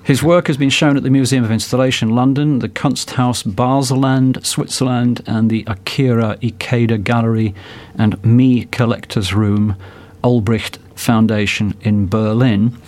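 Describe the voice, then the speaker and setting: A man interviewing an artist in a chatty, relaxed way with quick asides. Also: medium pitch. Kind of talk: casual interview talk.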